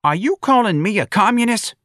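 A man's voice: high voice, expressive, fairly quick, a bit bright, dry recording, studio quality, pitched above the performer's own voice. A man voicing a flustered, blustering policeman in an audiobook novel. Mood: threatening.

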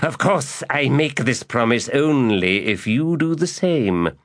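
A male narrator voicing a scheming, lecherous mage who mutters his plots aloud, high and excitable, in an audiobook. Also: slow pace. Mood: gleeful. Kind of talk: audiobook character voice.

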